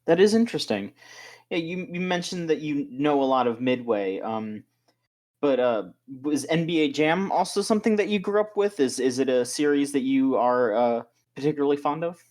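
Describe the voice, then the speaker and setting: A man's voice: on the high side, animated, moderate pace, a little mellow. A man hosting a podcast, asking interview questions in a hesitant way, hedging with fillers. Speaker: podcast host.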